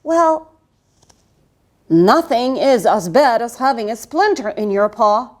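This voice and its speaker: Brief: a woman playing a storybook dog who moans that everything happens to him, drawn out and high. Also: expressive, slow. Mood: self-pitying. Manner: wailing, sorry for himself. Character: whiny self-pitying male dog.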